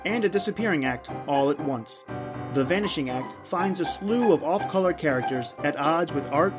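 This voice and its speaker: A man's voice: on the high side, lively intonation, normal speed. A man delivering scripted promotional narration as an announcer for podcasts. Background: plucked guitar music.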